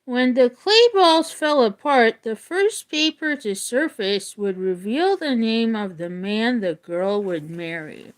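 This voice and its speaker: Slow, high-voiced woman reading aloud expressively. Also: animated, rough recording. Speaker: teacher reading a book aloud. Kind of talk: expressive read-aloud with casual explanatory asides.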